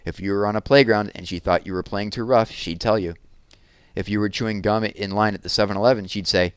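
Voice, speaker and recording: Male read-aloud narrator: deep register, dry sound, expressive delivery, deliberate stress on dramatic words. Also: clean tone, dry recording.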